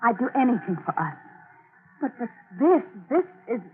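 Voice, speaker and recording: A woman's voice: on the high side, sing-song, normal speed. An actress playing a devoted, anxious young woman in love, pleading breathlessly in 1940s radio melodrama style. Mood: pleading, loving. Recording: narrow, noisy 1940s radio recording.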